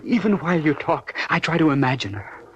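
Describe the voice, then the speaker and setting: An actor playing a naive, dreamy eighteen-year-old farm lad in a 1950s radio drama, talking eagerly and pleadingly. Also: male voice, fairly high pitch, big pitch swings, a little fast, dull audio. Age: young adult. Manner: eager, pleading, wails in pain. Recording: faint hiss of a 1950s radio broadcast.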